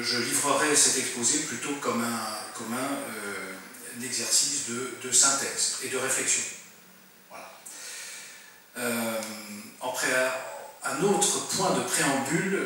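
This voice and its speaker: A man's voice: mid-range voice, pushed and bright, a little hiss or hum. A middle-aged man, a military officer, giving a lecture, full of filler sounds and restarts. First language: French. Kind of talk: lecture presentation. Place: conference room. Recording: very echoey room, mic far off.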